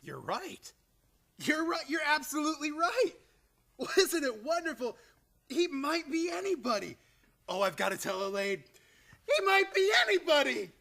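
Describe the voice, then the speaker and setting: Man playing a frantic, sharp, excitable nephew. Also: extremely high pitch, normal speed, vocal fry, a little hiss or hum, heavily acted. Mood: elated. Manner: agitated, stammering, coaxing. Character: frantic, excitable nephew managing his dotty relatives. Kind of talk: old-time radio comedy acting.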